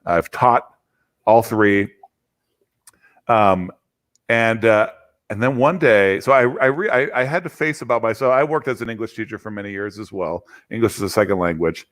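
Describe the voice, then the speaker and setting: A man hosts a casual conversation over a video call. He talks in a lively, storytelling way, with the odd stammer and quick asides. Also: on the low side. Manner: chatty, storytelling, animated emphasis. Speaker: interview host.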